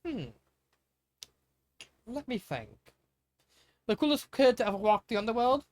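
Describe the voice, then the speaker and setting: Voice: medium pitch, big pitch swings, unhurried, pitched above the performer's own voice. A storyteller voicing a mild young underwater prince, affectionate, playful and a little hesitant.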